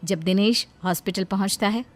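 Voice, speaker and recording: A woman's voice: medium pitch, normal speed. A woman reading scripted narration as a storyteller.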